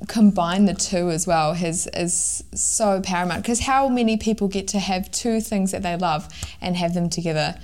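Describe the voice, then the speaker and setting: A woman hosts a podcast conversation in a lowish voice. She chats easily and encourages her guest. Her tone stays friendly and relaxed. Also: moderate pace, hardly any pauses. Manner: warm, encouraging, chatty. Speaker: podcast host. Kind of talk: casual podcast interview.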